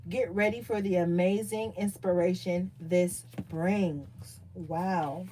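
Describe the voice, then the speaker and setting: A woman giving a casual tarot reading, chatty and profane, reading card meanings aloud more calmly. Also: on the low side, takes it slowly, a little hiss or hum, low-quality recording. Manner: loose, profane, chatty asides. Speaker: tarot reader.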